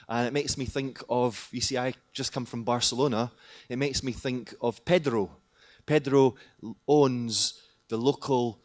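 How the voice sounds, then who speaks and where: A man giving a lively, jokey talk at a conference hall, often asking the audience questions. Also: mid-range voice. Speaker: conference presenter, teacher trainer.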